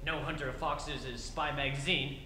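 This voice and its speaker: An actor playing a pompous, scheming magazine publisher in a stage re-enactment of an old radio comedy, declaiming grandly. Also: male voice, fairly high pitch, fairly even intonation, moderate pace, pushed and bright. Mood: grandiose. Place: theatre stage. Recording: echoey hall, faint noise.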